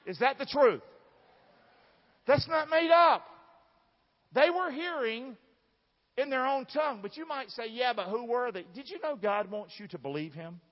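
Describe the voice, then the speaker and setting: High-pitched Southern preacher man in a hall. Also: animated, a little slow, pauses often. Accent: Southern American. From southern United States. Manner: emphatic, folksy, asks the audience questions. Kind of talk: sermon to a congregation. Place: large church room. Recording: dull, reverberant large hall sound.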